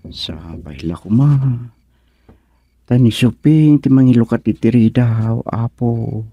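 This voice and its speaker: A man's voice: mid-range voice, takes it slowly, slightly rough, dull audio. A man acting a bashful, nervous young suitor in an Ilocano radio comedy, stammering and exclaiming with an Ilocano accent. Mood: nervous, praying. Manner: stammering, exclaiming, muttering anxiously to himself.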